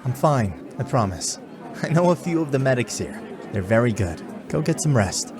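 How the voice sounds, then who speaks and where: A man's voice: mid-range voice, big pitch swings, moderate pace, music in the background, crowd noise, close to an everyday voice. A man playing an earnest, good-hearted young soldier in a fantasy audio drama. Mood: reassuring. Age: young adult. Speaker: voice actor.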